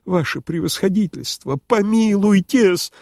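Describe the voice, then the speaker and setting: A man's voice: sits high, expressive, measured pace, creaky, darkish tone, no echo, studio quality, pitched above the performer's own voice, full character voice. A man voicing a puny, terrified, servile clerk in an audiobook, exclaiming in an obsequious, flustered way. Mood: frightened pleading.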